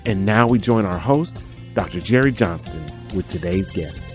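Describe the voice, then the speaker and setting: A male announcer with a fairly low voice reads a polished script to open a podcast. Faint plucked guitar plays behind him. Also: animated, moderate pace. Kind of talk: scripted promotional intro. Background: soft plucked guitar music.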